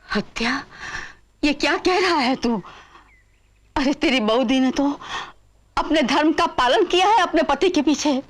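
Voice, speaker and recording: A woman's voice: high voice, expressive, unhurried. An actress playing a pious older mother in a period drama, scolding her grown son with emotional reproach. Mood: bewildered reproach. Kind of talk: Hindi period drama acting.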